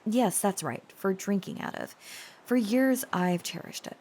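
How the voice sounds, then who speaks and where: A woman playing an earnest, devoted dragon-blooded girlfriend in an intimate romantic audio roleplay, confiding with hesitant pauses. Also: mid-range voice, slight background noise, close to an everyday voice. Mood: nervous, confessing. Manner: hesitant, confiding, soft-spoken pauses and stammers.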